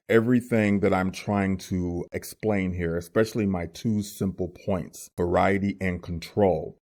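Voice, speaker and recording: Low-pitched man explaining his work. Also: darkish tone. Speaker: voice actor giving a tutorial. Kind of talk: casual scripted explanation.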